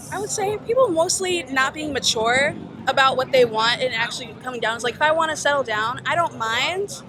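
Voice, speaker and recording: This is a woman from Detroit being interviewed outdoors at a festival. She speaks in a high voice and answers earnestly, weighing her words. Faint street noise sits behind her. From Detroit, USA. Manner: thoughtful, earnest, measured.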